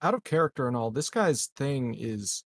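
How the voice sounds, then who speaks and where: A man's voice: medium pitch, very animated, normal speed, dry recording. A man playing a tabletop game, chatting casually out of character and offering tentative suggestions.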